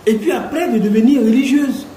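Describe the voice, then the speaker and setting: A man presenting and interviewing, introducing his guest with a string of rhetorical questions and reacting in an animated way. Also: very high-pitched, fairly quick, slight background noise. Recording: echoey room, heavy rain behind.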